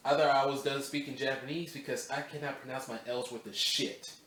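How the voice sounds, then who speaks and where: A man's voice: mid-range voice, fairly even intonation, a little fast, fairly bright tone. A man recording voice-over takes for a video essay. He chats casually between takes and restarts lines to correct his reading. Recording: roomy echo, distant mic, faint ambience.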